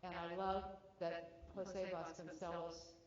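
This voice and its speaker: Older woman, award recipient, reminiscing, lower register, dull lo-fi echoey room sound. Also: little pitch movement, slow, a little hiss or hum. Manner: heartfelt, reminiscing, with restarts and fillers. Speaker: award recipient giving speech. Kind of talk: acceptance speech. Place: event hall. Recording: echoey hall, dull lo-fi audio.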